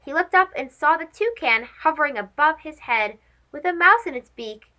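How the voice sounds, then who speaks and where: A woman narrating a children's story as a read-aloud host, expressive, dramatic and clearly phrased. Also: high voice, moderate pace, highs cut off.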